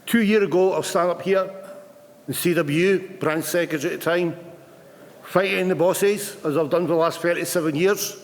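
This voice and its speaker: A man's voice: high-pitched, steady tone, normal speed. A man in his fifties, a retired union rep giving an earnest, plain-spoken conference speech with occasional stammered restarts in a large hall. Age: 50s. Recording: long hall reverb.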